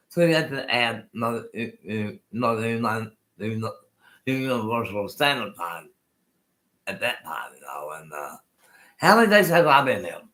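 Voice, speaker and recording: A man's voice: mid-range voice, pushed and bright. Rambling older man with unclear speech. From Colorado, USA. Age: 60s. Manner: rambling, repeats himself, restarts often. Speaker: host. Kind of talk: casual live-stream chat.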